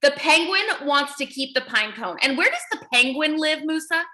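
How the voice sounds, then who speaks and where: Animated, high-pitched woman teacher reading aloud. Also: normal speed. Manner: encouraging, exaggerated for children. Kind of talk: animated children's read-aloud with questions.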